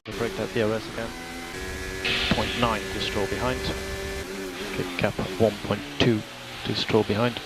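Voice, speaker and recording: A man's voice: lowish voice, level tone, moderate pace, over loud music. A man working as a race engineer, giving clipped, matter-of-fact updates over team radio. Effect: team radio transmission. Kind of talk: team radio briefing with gaps, lap times and settings. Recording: noisy race radio with car noise. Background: loud car and engine noise.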